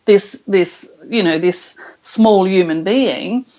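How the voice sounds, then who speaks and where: Soft-spoken woman on a muffled call. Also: medium pitch. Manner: slow, thoughtful, laughs softly. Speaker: guest channeler. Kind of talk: casual interview chat. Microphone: internet call.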